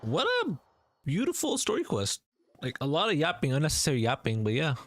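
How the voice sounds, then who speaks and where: A woman's voice: deep voice, wildly swooping pitch, a little fast. A woman livestreaming a game, giving casual, informal, reactive commentary. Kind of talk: casual live commentary over gameplay. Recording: game music behind the voice.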